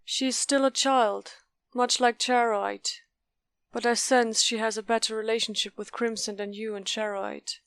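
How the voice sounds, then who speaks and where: Woman as a high, deadpan bookish strategist. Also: unhurried. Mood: matter-of-fact. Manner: deadpan, matter-of-fact. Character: bookish, deadpan, know-it-all female strategist. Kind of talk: audio drama acting.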